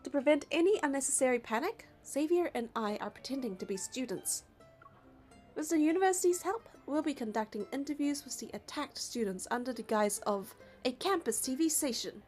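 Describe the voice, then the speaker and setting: Female narrator, high voice, scripted game story reading with music underneath. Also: animated, brisk, a little mellow. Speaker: narrator and reader of a game playthrough. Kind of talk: scripted story narration with dialogue read aloud. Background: background music.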